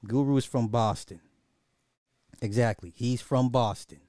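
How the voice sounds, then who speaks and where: A man's voice: medium pitch, unhurried, dark tone, no echo, studio quality. A man hosting a casual, opinionated commentary podcast in slangy, informal speech, thinking aloud and often repeating his own phrases. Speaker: podcast host.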